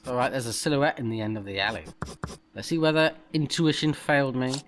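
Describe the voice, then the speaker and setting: A man's voice: medium pitch, fairly quick, a little hiss or hum. A man hosting a let's play, mixing playful, reactive commentary with reading the game's text aloud.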